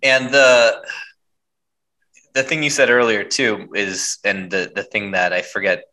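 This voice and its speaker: A man in his thirties hosts a podcast in a relaxed, conversational way, often breaking into laughter. His voice sits a bit low but sounds bright and forceful, with animated retellings of stories. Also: measured pace, poor audio. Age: 30s. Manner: laughs often, acts out quoted people with animated voice. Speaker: podcast host. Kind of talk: casual interview chat.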